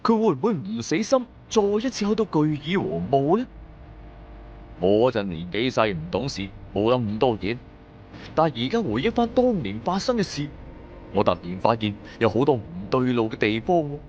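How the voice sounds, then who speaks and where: A man's voice: mid-range voice, wildly swooping pitch, moderate pace, a little mellow, highs cut off, a little hiss or hum. A man with a Cantonese accent narrating a dramatised first-person story in a theatrical, colloquial way. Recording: background music under the voice.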